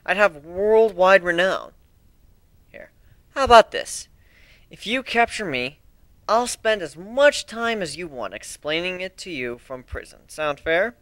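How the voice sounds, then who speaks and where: A man's voice: sits high, very animated, a little slow, fairly bright tone, dry recording, full character voice. A man voicing a cocky, teasing young pirate in an amateur dramatic reading, smug and snarky as he explains himself. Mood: frank, bantering.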